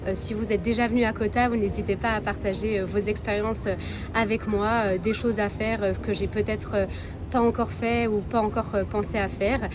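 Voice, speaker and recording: A woman's voice: medium pitch, steady tone, normal speed, background noise. A woman from Belgium, chatting casually to viewers as a travel vlogger. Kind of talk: casual vlog chat to viewers.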